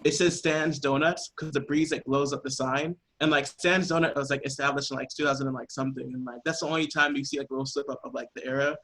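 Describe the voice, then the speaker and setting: A man's voice: mid-range voice, fairly even intonation, fast talker, hardly any pauses, fairly bright tone. A man chatting casually and telling anecdotes, peppering his sentences with "like". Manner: peppers speech with "like". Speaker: podcast cast member.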